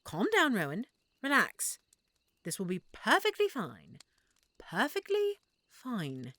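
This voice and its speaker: A woman voicing a shy, flustered half-elf shopkeeper who stammers softly and nervously. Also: fairly high pitch, huge pitch swings, slow pace, dry recording, exaggerated voice. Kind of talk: audio roleplay acting.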